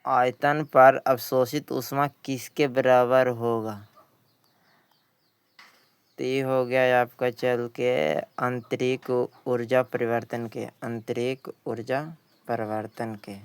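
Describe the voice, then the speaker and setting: A man's voice: mid-range voice, level tone, takes it slowly, smooth, dry recording. A man teaching by reading exam questions and explaining the answers, often repeating himself. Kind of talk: exam question walkthrough lesson.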